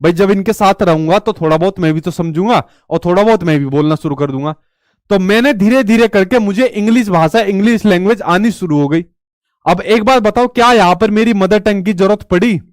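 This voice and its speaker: A man's voice: high-pitched, brisk, dry recording, studio quality. A male teacher giving an exam-prep lecture in a chatty way, asking rhetorical questions and telling stories.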